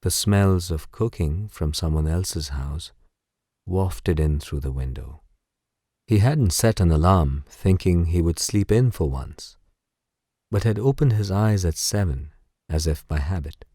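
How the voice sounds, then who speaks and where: A man narrating a bedtime story as guided sleep meditation in a soothing, gentle, unhurried way. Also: deep voice, animated, slightly rough, no echo, clean studio sound.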